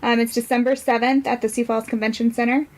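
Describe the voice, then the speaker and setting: Higher-pitched woman as a podcast guest. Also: little pitch movement, fast, darkish tone, rough recording. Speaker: podcast guest from a non-profit. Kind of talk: casual explanatory chat.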